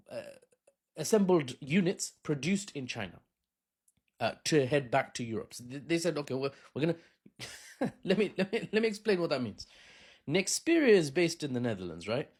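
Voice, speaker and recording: A man hosting a casual political commentary, animated and joking with sarcastic asides. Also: a little high, normal speed, husky. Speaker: host.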